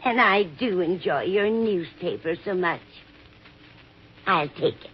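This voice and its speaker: Low-voiced, fretful old landlady. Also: slow pace. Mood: plaintive, grasping. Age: older. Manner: fussy, plaintive. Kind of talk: 1950s radio drama acting. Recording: muffled, hissy 1950s radio recording.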